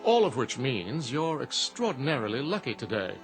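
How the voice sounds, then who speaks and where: Urbane, smug male genie, formal and theatrical, lively swooping pitch, radio drama with faint music. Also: moderate pace. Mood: suave, teasing.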